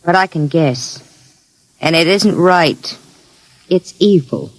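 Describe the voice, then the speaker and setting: An actress playing an uneasy, world-weary nursemaid with a tense, emotional delivery in an old-time radio drama. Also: female voice, lowish voice, lively intonation, takes it slowly, rough recording. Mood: frightened.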